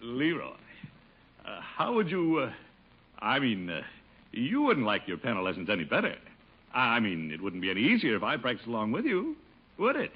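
An actor playing a pompous, easily flustered middle-aged uncle in a 1950s radio sitcom, blustering and stammering, on an old broadcast recording. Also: male voice, medium pitch, wildly swooping pitch, moderate pace, long pauses, husky, muffled recording. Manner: blustery, hesitant stammering, chuckling.

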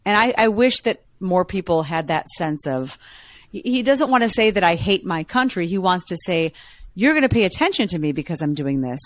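A woman with a low voice co-hosting a podcast, telling stories in a lively, chatty way. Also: normal speed. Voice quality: lower voice. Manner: chatty, animated storytelling. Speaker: podcast co-host. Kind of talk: casual chat.

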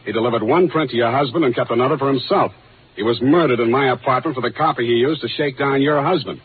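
An actor playing a tough, wisecracking private detective in a brusque, slangy 1940s radio style. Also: male voice, medium pitch, fast, slight background noise, natural voice. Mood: brisk, commanding. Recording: muffled old radio recording with music.

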